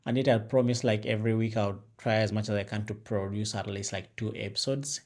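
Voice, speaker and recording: A man's voice: fairly low pitch, steady tone, fairly quick, darkish tone. A young Ugandan man with a Ugandan accent, hosting his own vlog and talking casually to camera, rambling with fillers and restarts, on an external mic into a phone. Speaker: vlogger host.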